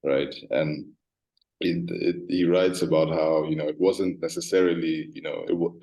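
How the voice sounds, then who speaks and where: A man moderating an artist talk, speaking thoughtfully and haltingly with frequent ums. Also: a bass voice, steady tone, gravelly, warm tone, dull audio.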